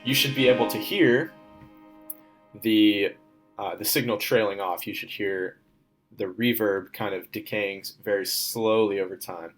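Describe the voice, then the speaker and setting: A young man with a lowish voice talks through his class project like a tutorial, informal and step by step. Faint music hums in the background. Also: steady tone, measured pace, rough recording. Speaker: student presenting a class project. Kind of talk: software demo walkthrough.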